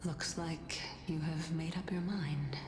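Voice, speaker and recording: A woman voicing a solemn, composed mythic heroine in a video game, with measured, formal delivery. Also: low-pitched, fairly even intonation. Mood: grave, questioning. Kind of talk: dramatic video game voice acting. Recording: long hall reverb under music.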